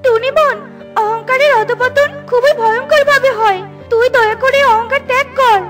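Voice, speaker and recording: Tiny squeaky bird, super high, earnest and caring, kids cartoon w music behind. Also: female voice, steady tone, normal speed. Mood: earnest warning. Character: earnest, caring young female bird, cautioning friend. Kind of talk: children's cartoon acting.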